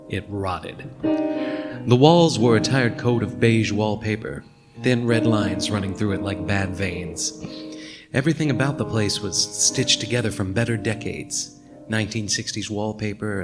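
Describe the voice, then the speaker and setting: Man acting a low-voiced, world-weary noir detective. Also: natural voice. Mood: brooding narration. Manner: dry, world-weary, deadpan. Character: hard-boiled, wry male detective narrating a noir case. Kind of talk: noir radio play narration and dialogue. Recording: music behind the voice.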